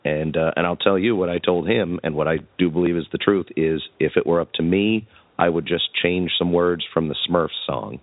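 A man's voice: low-pitched, normal speed, no echo. A man hosting a podcast, chatting and interviewing in a casual, joking way. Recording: thin phone-like sound, very dry.

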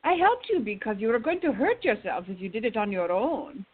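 A woman playing an exasperated, bossy older friend who scolds loyally, with a husky voice, in a radio drama. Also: on the high side, sing-song, brisk, narrow, tinny audio. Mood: scolding. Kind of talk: radio theater acting.

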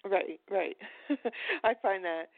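Halting woman interviewer on a phone-like line. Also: medium pitch, animated, gravelly, no room sound. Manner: hesitant, halting, meandering. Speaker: podcast host, interviewer. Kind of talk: casual interview chat. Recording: tinny phone-like line, dry.

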